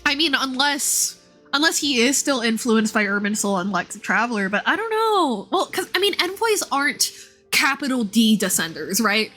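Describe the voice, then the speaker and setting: A woman livestreamer chatting casually and reacting to a game, animated and opinionated, with some casual swearing. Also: a little high, normal speed, faint music behind.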